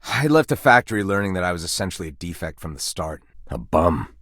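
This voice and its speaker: An actor playing a humbled, ordinary knockoff candelabra in a video game, plain-spoken and sheepish. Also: male voice, deep voice, big pitch swings, fairly quick, fairly bright tone, no room sound, studio quality, full character voice. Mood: dejected, confessing. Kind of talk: scripted video game character acting.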